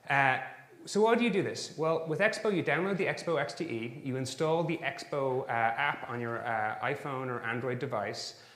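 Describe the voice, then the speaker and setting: An Irish man in his thirties speaks at a conference with a mid-pitched voice and an upbeat, explanatory manner. He drops in filler words between phrases. The sound is echoey, as in a conference hall. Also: moderate pace, a bit bright. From Ireland. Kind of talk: tech conference talk.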